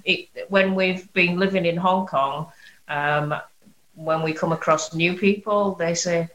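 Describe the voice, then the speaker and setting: A woman's voice: on the low side, steady tone, measured pace, fairly bright tone, roomy sound, low-quality recording. A woman from Bradford with a Yorkshire accent, a guest in an interview, upbeat and proud in tone. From Bradford, England. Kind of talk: conversational interview.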